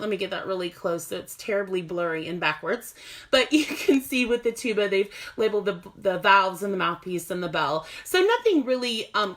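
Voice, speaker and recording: A woman's voice: medium pitch, fairly bright tone. A woman music teacher who gives casual classroom tips at home. She is chatty, laughs often, and uses many fillers. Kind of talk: casual instructional video.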